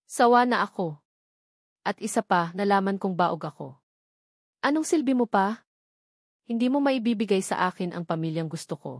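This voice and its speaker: A woman's voice: mid-range voice, expressive, moderate pace, long pauses, dry recording, clean studio sound. A woman narrator voicing a cold, proud young rich man with a cutting, dismissive manner in a story.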